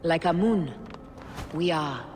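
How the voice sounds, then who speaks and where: An actress playing a solemn, vengeful Egyptian woman assassin in a video game, speaking in a grave, deliberate way. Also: low voice, animated, darkish tone, a little hiss or hum. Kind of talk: dramatic video game cutscene acting. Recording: long reverb, music behind.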